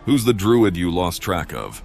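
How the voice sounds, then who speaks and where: An actor playing a calm, principled paladin adventurer in a fantasy game, speaking plainly and in a measured way. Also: male voice, low voice, creaky, faint music behind, heavily acted. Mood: calm, firm.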